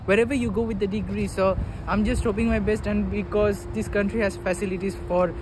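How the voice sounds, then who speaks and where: Young Bangladeshi man, high voice, fluent and earnest, interviewed by a street full of traffic on a phone. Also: level tone, fairly quick. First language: Bengali. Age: young adult. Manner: fluent, earnest, filler-heavy. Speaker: interviewee, engineering student. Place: outdoors by a busy street. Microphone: phone held by interviewer. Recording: phone audio with loud traffic noise.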